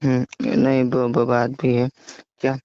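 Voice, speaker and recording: Young man, medium pitch, monotone, halting casual phone talk, dull lo-fi recording. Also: dry recording. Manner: hesitant, stammering, repeats words. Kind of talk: casual private phone conversation. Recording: dull, dry lo-fi call recording.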